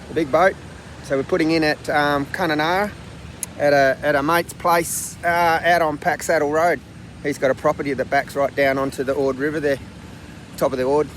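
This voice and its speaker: A middle-aged Australian man with a croaky voice from a flu, chatting casually to camera in a laid-back, slangy way. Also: on the high side, fairly bright tone. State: getting over a flu. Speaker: outdoor adventure vlogger. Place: outdoors and in a vehicle on the road. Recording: noisy outdoor and vehicle background.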